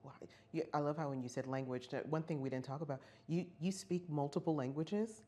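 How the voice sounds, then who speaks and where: Fast-talking woman TV interviewer. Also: sits low, a little hiss or hum. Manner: warm, encouraging, quick questions. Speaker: TV news interviewer. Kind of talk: interview conversation.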